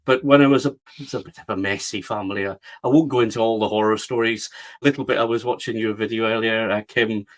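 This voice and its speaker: A man's voice: on the low side, steady tone, fast. Earnest middle-aged Scottish man. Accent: West of Scotland. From Scotland. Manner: earnest, repeats words as he restarts. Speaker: guest, publisher. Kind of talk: reflective storytelling interview.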